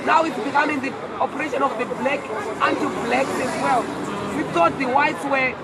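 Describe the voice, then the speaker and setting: A tired woman, speaking haltingly and earnestly in an outdoor interview. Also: high-pitched, steady tone. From Zimbabwe. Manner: halting, earnest, repeats words. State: hoarse and tired. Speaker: walk organizer being interviewed. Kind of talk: emotional street interview. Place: outdoors outside an embassy among a crowd. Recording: loud crowd noise outdoors.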